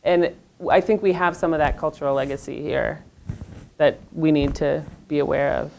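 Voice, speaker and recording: A woman with a notably low voice speaks on a panel in a lecture room. She is earnest and searching, restarting her sentences as she works out her thoughts. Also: normal speed, vocal fry, mellow, slight background noise. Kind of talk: panel discussion.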